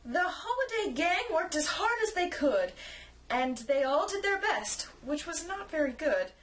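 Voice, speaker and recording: High-voiced woman reading a rhyming storybook. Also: very animated, measured pace, fairly bright tone. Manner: theatrical, acts out character lines. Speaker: narrator reading a children's storybook. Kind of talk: expressive rhymed storybook narration.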